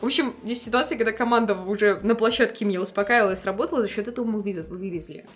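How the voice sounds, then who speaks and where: A woman musician chatting casually as an interview guest, telling lively anecdotes in slangy speech and acting out the dialogue she quotes. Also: medium pitch, racing.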